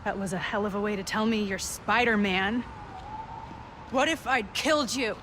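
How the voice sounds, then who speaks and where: A woman playing a defiant, sharp young woman. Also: medium pitch, normal speed, background noise, close to an everyday voice. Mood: confrontational. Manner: blunt and confrontational. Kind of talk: game cutscene acting.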